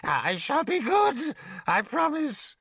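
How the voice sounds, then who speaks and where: A man's voice: sits high, animated, a little slow, sharp tone, no echo, clean studio sound, pitched above the performer's own voice, heavily acted. A man reading an audiobook, voicing a broken, mad, blind old man who begs like a child and babbles on. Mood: desperate pleading. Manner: whimpering, babbling, childlike begging. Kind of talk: audiobook character voice.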